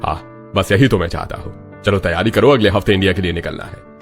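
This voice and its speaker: A man voicing a proud, measured middle-aged father in a cartoon drama. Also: deep voice, soft background music, close to an everyday voice. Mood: proud, resolute.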